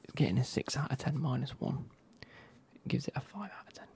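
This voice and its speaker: Whispering man with a gravelly edge. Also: mid-range voice, animated, fairly quick. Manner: soft whispering, wry asides. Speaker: football kit reviewer. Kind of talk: whispered casual review.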